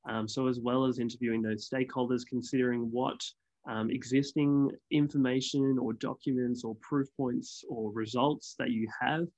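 A man explaining the award submission process on a webinar in a measured way, often pausing on ums. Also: mid-range voice, steady tone, darkish tone, muffled recording. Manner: measured, many ums and restarts. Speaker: awards consultant guest.

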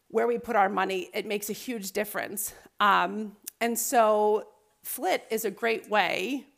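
A woman's voice: mid-range voice, creaky, fairly bright tone. A woman hosting a podcast, talking in an upbeat, conversational way with occasional fillers. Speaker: podcast host. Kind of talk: podcast intro and interview.

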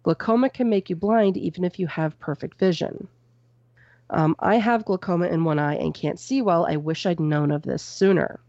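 A woman co-hosting a podcast from home on a boom-arm mic. She reads out a news story and then chats about it loosely, with plenty of "um" and "you know". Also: moderate pace, dark tone, no echo, highs cut off. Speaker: podcast co-host reading news. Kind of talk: casual news chat. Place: at home with a cat nearby. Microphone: microphone on a boom arm.

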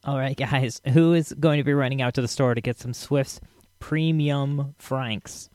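A man hosting a podcast, chatting casually and haltingly to his listeners with a fan's enthusiasm. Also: mid-range voice, fairly even intonation, a little mellow, no room sound, clean studio sound. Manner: halting, enthusiastic fan talk. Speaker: podcast host. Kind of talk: casual solo chat to listeners, commentary.

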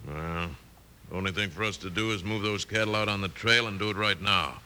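Ruthless American western villain, lowish pitch, very forceful edge, bossy and hard. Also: male voice, brisk, slight background noise. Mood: impatient, bossy. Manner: hard, domineering. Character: ruthless, bossy male cattle rustler. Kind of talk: 1950s radio western acting.

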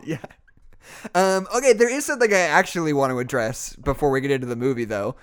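A man's voice: fairly high pitch, fast, fairly bright tone, clean tone. A young man hosting a podcast in a casual chat, rambling and joking at his own expense. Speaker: podcast host.

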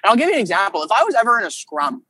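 A man's voice: high-pitched, sing-song, racing, sharp tone, breathy edge, no echo. Emphatic Canadian man, close dry sound. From Quebec, Canada. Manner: emphatic, argumentative, acts out imagined exchanges. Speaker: podcast guest, political strategist. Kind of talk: casual, opinionated political conversation.

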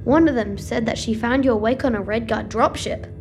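A doubting, hurt young boy, as acted by a woman in an audio drama. The voice is a little high with a noticeable creak, set over clear music. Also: normal speed, lightly acted. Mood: suspicious, hurt.

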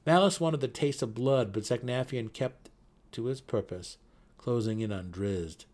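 A man's voice: medium pitch, unhurried, a little mellow. Man narrating a dramatic fantasy audiobook.

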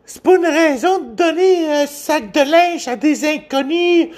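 A silly young woman, as put on by a man, speaking in a very high, squeaky falsetto with a Québécois accent. She whines indignantly in an exaggerated, mocking caricature. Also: unhurried. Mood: whiny indignation. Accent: Québécois French.

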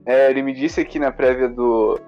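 A young man in his twenties answers interview questions on a casual podcast, candid and a little nervous. His voice sits at an ordinary middle pitch. The audio is slightly dull, with faint music underneath. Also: moderate pace. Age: 20s. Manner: relaxed, candid, a bit nervous. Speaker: podcast co-host, interview guest. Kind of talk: casual chat.

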